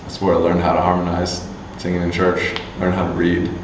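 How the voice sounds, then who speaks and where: A man from Canada answering interview questions casually, halting with many fillers and restarts. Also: low-pitched, monotone. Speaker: musician and agency owner. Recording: distant mic, echoey room, faint hum.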